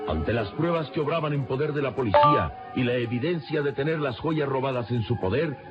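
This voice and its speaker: A man's voice: mid-range voice, lively intonation, a little fast. A man narrating a radio serial from a script in a dramatic style. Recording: dull lo-fi radio serial recording. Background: loud music.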